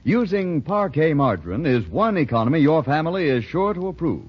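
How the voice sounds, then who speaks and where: A man's voice: on the high side, big pitch swings, a little fast, breathy edge. An American man announcing on 1940s radio, reading scripted ads with polished, emphatic salesmanship. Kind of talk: 1940s radio ad read and narration. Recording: dull 1940s radio recording.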